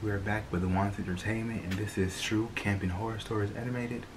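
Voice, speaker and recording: A man's voice: on the low side, steady tone, quick pace. A young man hosting a reaction video from his bedroom, talking loosely with slangy, playful asides. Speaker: reaction channel host. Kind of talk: casual reaction commentary. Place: bedroom, indoors. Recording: noisy home webcam audio.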